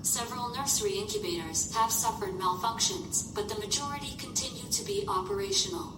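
A woman's voice: expressive, a little fast. A woman voicing an impassive medical computer aboard a spaceship in an audio drama, formal and clinical. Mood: clinical warning. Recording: distant, echoey, noisy with rumble.

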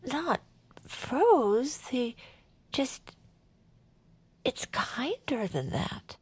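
An actress playing a sentimental, gushing true crime podcast co-host, earnest and effusive, in scripted audio fiction styled as chat. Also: female voice, high voice, sing-song, drawn-out words, husky, heavily acted. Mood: wistful.

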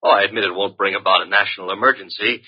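An actor playing a breezy, wisecracking detective's assistant in an old radio drama, teasing and flippant. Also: male voice, lowish voice, steady tone, very fast, slightly rough. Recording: muffled vintage radio drama recording.

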